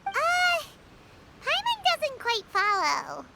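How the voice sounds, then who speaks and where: A woman voicing a small, excitable fairy companion girl in a video game, with a squeaky, childlike voice. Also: slow pace, gravelly, noisy background, full character voice. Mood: confused. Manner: talks of self in third person, excitable.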